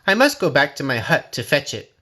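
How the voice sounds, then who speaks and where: A man's voice: mid-range voice, sing-song, brisk, pushed and bright. A man playing a small, wary and shrewd farmer in a storybook, explaining things in a polite, deferential way. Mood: polite, confident. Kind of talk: storybook character voice.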